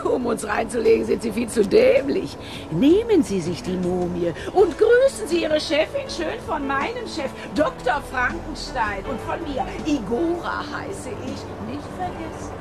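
A woman's voice: high voice, very animated, a little slow, vocal fry, full character voice. An actress playing a brash, scornful hunchbacked henchwoman with a sneering, taunting delivery in a radio drama. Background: music.

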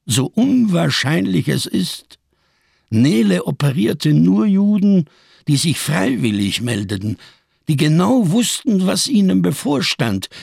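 Gravelly, embittered camp survivor. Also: male voice, medium pitch, no room sound, studio quality, full character voice. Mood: agitated, intense. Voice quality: gravelly, husky. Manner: solemn, rhetorical, biblical diction, bitterly sardonic. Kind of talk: German radio crime drama monologue.

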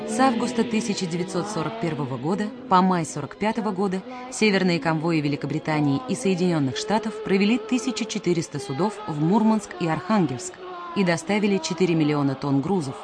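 A woman reading scripted historical narration in a measured, solemn manner. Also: on the low side, lively intonation. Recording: music under the voice.

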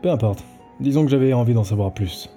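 A man's voice: medium pitch, quick pace, dark tone, close to an everyday voice. A man acting an earnest, self-assured investigator in an audio drama. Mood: intent. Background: music.

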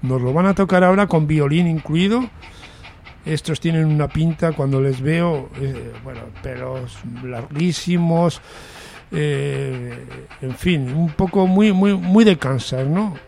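A man's voice: fairly high pitch, slow, vocal fry, darkish tone, slight background noise. Chatty Spanish man, music host. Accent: Spain. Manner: chatty, joking, repeats words as he goes. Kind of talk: casual music commentary.

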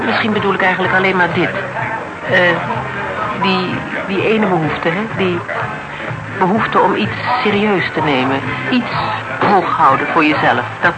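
Actress playing a reflective woman, radio play, frank, old-fashioned, hesitant musing, loud music behind. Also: sits low, close to an everyday voice, poor audio. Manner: hesitant, musing, self-correcting. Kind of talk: naturalistic radio drama dialogue.

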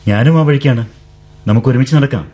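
An actor in a radio drama playing an educated young man who speaks softly and playfully. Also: medium pitch, quick pace, slightly rough, lightly acted. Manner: soft-spoken, playful, with light chuckles. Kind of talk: 1970s-style radio drama acting.

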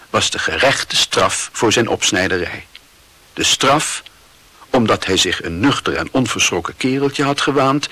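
A man reading literary narration for a radio play in a deliberate, dramatic, reflective manner. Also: on the low side, sharp tone, breathy edge, dry recording.

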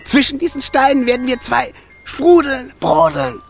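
Bubbly, pushy water sprite fellow: animated singsong, mocking, children's radio play, light music behind. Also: female voice, high-pitched, moderate pace, gravelly. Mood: gleeful, boastful. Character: boastful, bubbly, pushy male water spirit.

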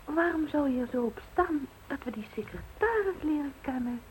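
An actress playing a polite, dutiful grown daughter in a radio play. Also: female voice, high-pitched, animated, normal speed. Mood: curious. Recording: noisy old radio play recording.

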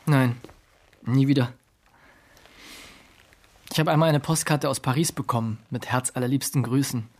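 An actor playing a reserved, polite gentleman writer in a radio drama, measured and restrained. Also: mid-range voice, lightly acted. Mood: quietly regretful. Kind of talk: radio drama, literary narration and dialogue.

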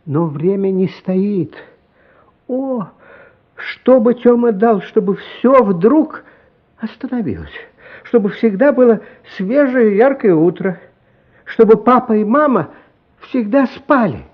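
A man narrating a literary radio play as a solemn, compassionate storyteller, expressive and measured, with dramatic pauses. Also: high voice, full character voice. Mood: wistful. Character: solemn, compassionate storyteller of a boy's inner life. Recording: slightly dull, lo-fi radio recording. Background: music.

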